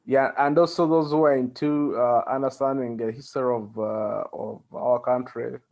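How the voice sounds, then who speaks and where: Male interview guest, Ugandan accent, mid-pitched, conversational, halting delivery with plenty of pauses. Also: fairly even intonation, darkish tone. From central Uganda. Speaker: interview guest, community organizer.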